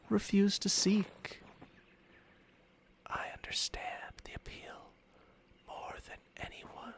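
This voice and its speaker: A man's voice: a little high, vocal fry, full character voice. A man voicing a smug, seductive ancient dragon in humanlike form for an ASMR roleplay, teasing and haughty in formal words, with murmurs that slip into whispers. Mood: intimate, teasing. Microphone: close microphone, dry sound.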